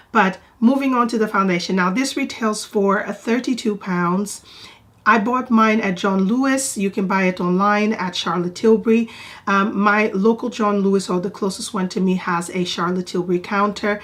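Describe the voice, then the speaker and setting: A woman whose first language is Portuguese, congested from a cold, chatting casually to camera in a product review and repeating words for emphasis. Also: medium pitch. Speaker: beauty vlogger.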